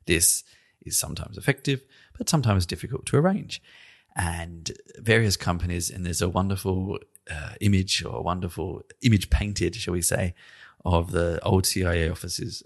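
A man's voice: deep voice, lively intonation, fairly quick. A man hosting a podcast in a conversational, musing and wry manner, often hedging as he goes. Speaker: podcast host and interviewer.

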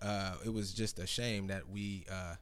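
A man's voice: low-pitched, fairly even intonation, measured pace, clear, resonant tone. A man hosting a casual talk show, rambling through stories with lots of fillers and restarts. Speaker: podcast host. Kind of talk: casual chat.

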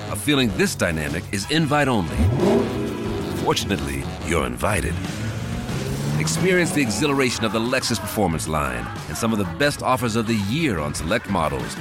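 Deep male car-ad announcer over music. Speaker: commercial announcer. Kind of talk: scripted car ad narration. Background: music bed.

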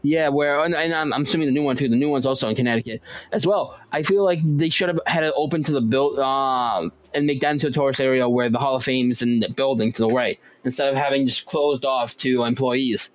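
A man's voice: medium pitch, fast talker, no echo, low-quality recording. A man co-hosting a podcast, chatting casually in a joking, teasing way. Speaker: podcast co-host. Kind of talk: casual chat.

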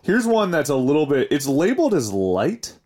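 A man's voice: a little high, wildly swooping pitch, moderate pace, darkish tone, a little hiss or hum. A man hosting a casual podcast, chatting and reading confessions aloud in an informal way. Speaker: podcast host.

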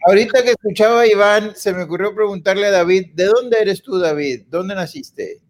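Slow-talking high-voiced man. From Coahuila, Mexico. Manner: slow, joking. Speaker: guest. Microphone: video call.

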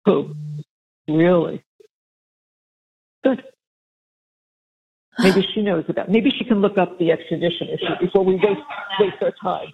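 A woman's voice: lowish voice, unhurried, creaky, long pauses. A woman in a private conversation on a recorded phone call, heard over a narrow, tinny line.

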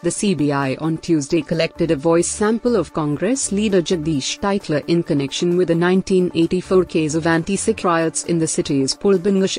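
A woman's voice: on the low side, darkish tone. A woman with an Indian accent reads a scripted news bulletin as its news reader.

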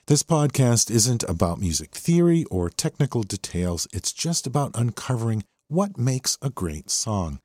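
A man's voice: medium pitch, big pitch swings, measured pace, hardly any pauses, dark tone, no room sound, studio quality. An American man hosting a music podcast, talking through a song with enthusiasm. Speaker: podcast host. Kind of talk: music appreciation podcast commentary.

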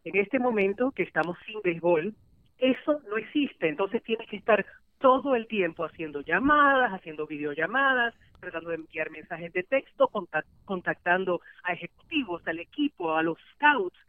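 A woman in her forties, a sports journalist answering in an animated podcast interview, laughing easily. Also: medium pitch, normal speed, no room sound. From Puerto Rico. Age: 40s. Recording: tinny phone line.

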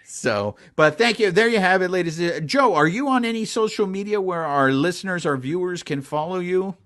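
A man's voice: sits high, animated, moderate pace, fairly bright tone. A man hosting a podcast, asking a guest casual questions in an upbeat, friendly way. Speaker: podcast host.

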